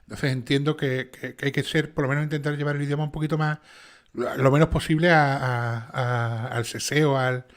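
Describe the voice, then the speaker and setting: A man's voice: mid-range voice, steady tone. A man with a strong Sevillian Andalusian accent, hosting a casual livestream chat and checking in often with his listeners. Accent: Sevillian Andalusian Spanish. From Seville, Spain. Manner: fast, chatty, with many restarts and "¿vale?" checks. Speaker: channel host and narrator.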